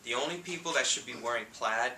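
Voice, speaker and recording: A man in his thirties with a New York City accent, giving a humorous contest speech with punchy timing and pauses for laughs. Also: medium pitch. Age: 30s. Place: meeting room with an audience. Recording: echoey room, distant mic, faint noise.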